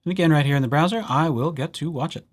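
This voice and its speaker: A man presenting a casual software tutorial as a library host, with playful, wry asides. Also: on the high side, fast. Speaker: library presenter hosting a digital services tutorial.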